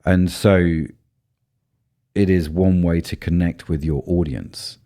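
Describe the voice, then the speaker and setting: A man co-hosting a podcast in casual chat, his deep baritone made rougher by a cold, thinking aloud with many ums and restarts. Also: steady tone, slow, mellow. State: voice low and rough from a cold spell. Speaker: podcast co-host.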